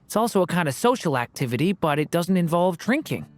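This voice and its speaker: A woman voicing a gentle, earnest young dragon boy in human form in a video game. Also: fairly low pitch, big pitch swings, quick pace. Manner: earnest, hesitant, mild. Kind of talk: video game character acting.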